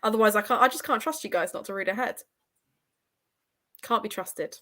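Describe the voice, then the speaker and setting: A woman of medium pitch leads a relaxed live readalong chat. She banters with the others and puts emphatic stress on her opinions. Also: moderate pace. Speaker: readalong discussion host. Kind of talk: casual book discussion, live.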